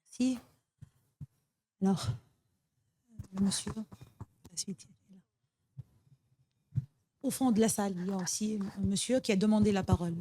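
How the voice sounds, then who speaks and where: A woman's voice: mid-range voice, mellow, slight background noise. A woman moderating a panel discussion, politely handing the floor to the audience. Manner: brisk, polite, directing the floor.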